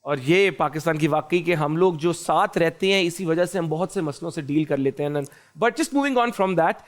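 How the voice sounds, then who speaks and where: A man's voice: on the high side, racing. A young Pakistani man hosting a talk show, chatting playfully and teasing his guest, dropping into Urdu and Punjabi asides. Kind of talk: lively chat show banter.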